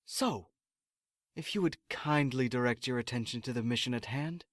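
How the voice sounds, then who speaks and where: A man's voice: medium pitch, moderate pace, fairly bright tone, no room sound, lightly acted. A man playing an exasperated, officious assistant in a sci-fi comedy audio drama, formal, sarcastic and condescending. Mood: irritated, sarcastic. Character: exasperated, officious male assistant prepping space recruits.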